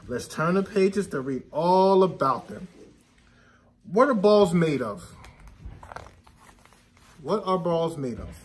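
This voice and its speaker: A man in his thirties reading a picture book aloud to young children, stressing key words and putting questions to them. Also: a little high. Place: indoor classroom.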